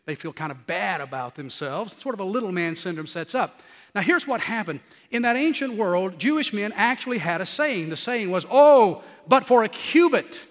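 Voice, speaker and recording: A middle-aged man preaching a sermon in a nasally, scratchy voice, animated and building to emphatic peaks. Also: high-pitched, big-room sound.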